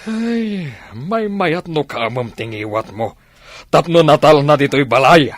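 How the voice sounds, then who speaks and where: A man's voice: on the high side, lively intonation, a little slow, dry recording, studio quality. A man with an Ilocano accent playing an irritable, evasive philandering husband in a radio drama. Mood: irritated.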